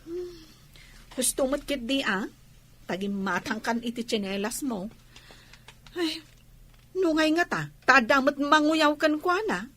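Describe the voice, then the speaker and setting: A woman's voice: sits high, expressive, unhurried, long pauses, pushed and bright, exaggerated voice. A woman playing a chatty married Ilocano village woman in a comedy radio drama, given to exclaiming and exaggerated reactions. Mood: conversational. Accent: Filipino, Ilocano.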